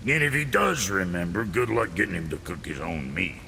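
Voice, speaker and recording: A man playing a crude, cranky dwarf blacksmith in a video game, sarcastic and irritable, with a creaky voice. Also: deep voice, big pitch swings, fairly quick, sharp tone, heavily acted. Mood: sarcastic, grumbling. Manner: sarcastic, irritable, colourful insults. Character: crude, cranky, foul-mouthed dwarf blacksmith, grudgingly helpful. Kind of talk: video game cutscene acting. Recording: reverberant space, faint background noise.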